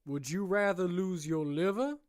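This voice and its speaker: A man's voice: high-pitched, close to an everyday voice. A man playing a smug, menacing prison warden. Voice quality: smooth, condescending, slow and deliberate. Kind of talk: audio drama acting.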